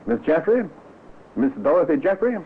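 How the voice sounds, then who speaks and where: An actor playing a shrewd, cautious police inspector in an old radio drama, dry and politely probing, on a muffled, tinny recording. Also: male voice, on the high side, sing-song, moderate pace, noisy background. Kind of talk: old-time radio acting.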